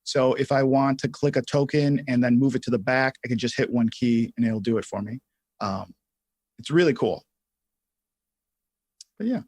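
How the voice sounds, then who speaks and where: A man hosting a casual live software tutorial, thinking aloud in a halting way as he explains things step by step. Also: medium pitch, breathy edge, no echo. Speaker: livestream host and software instructor.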